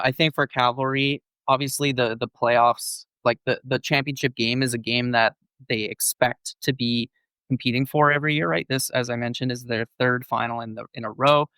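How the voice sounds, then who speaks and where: Male co-host, clear and resonant, fluent and explanatory, dry close mic. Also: mid-range voice, fairly even intonation, moderate pace, pushed and bright, no echo, low-quality recording. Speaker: podcast co-host. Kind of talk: casual sports podcast chat.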